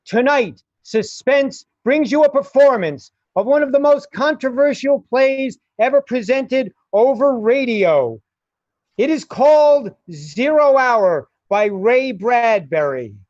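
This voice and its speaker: Slow, lively woman narrating a radio play. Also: sits high, no room sound. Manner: theatrical, deliberate storytelling. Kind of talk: dramatic scripted radio narration. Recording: muffled, music behind. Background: music.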